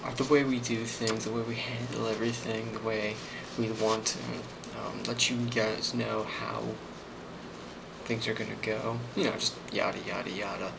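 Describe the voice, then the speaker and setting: A man playing a friendly, rambling office boss in an ASMR role play, speaking softly and hesitantly with a reassuring manner and a creaky voice. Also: fairly low pitch, flat delivery, moderate pace. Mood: calm, reassuring. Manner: soft-spoken, hesitant, reassuring. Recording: loud constant background noise.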